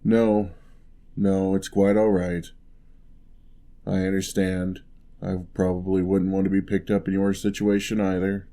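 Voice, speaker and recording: Gentle giant acted by a man, low even voice, soft-spoken, courteous, wry, teasing, ASMR fantasy roleplay. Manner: unhurried, soft-spoken, old-fashioned courteous phrasing.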